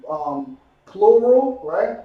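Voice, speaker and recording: A man teaching a Hebrew language class, drilling words slowly and often checking "you understand?". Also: very high voice, lively intonation, narrow, tinny audio. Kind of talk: classroom lesson, call and response. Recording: echoey room, mic far off.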